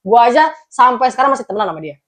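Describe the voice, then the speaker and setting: A woman's voice: a little high, animated, very fast. A young woman hosting a casual chat, teasing her guest, laughing often and talking to viewers. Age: young adult. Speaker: host.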